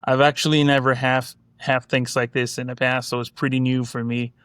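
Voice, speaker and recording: Smooth-voiced man. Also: medium pitch, level tone, moderate pace, fairly bright tone, no room sound, clean studio sound. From Hong Kong. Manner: reminiscing, many fillers and "you know, like". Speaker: guest, collectibles company executive. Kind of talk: casual interview storytelling.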